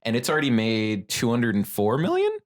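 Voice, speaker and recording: A man's voice: medium pitch, huge pitch swings, a little fast. A man co-hosting a podcast, casually talking movies in a blunt way with some swearing. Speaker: podcast co-host.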